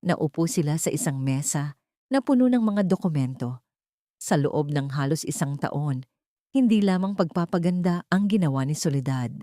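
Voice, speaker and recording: A woman's voice: low-pitched, lively intonation, normal speed, mellow, no room sound, clean studio sound. A woman with a Filipino accent narrating a scripted drama story in a solemn, theatrical storytelling manner.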